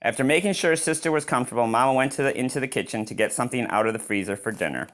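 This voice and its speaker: A man reading a picture book aloud as host, expressive and given to chatty reactions to the story. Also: mid-range voice, very fast, a little hiss or hum. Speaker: read-aloud reader and channel host.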